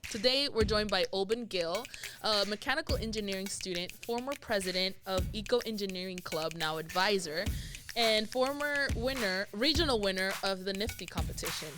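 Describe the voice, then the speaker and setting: A woman's voice: mid-range voice, normal speed, music in the background. A woman hosting a podcast, interviewing a guest in a casual, conversational way. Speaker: podcast host.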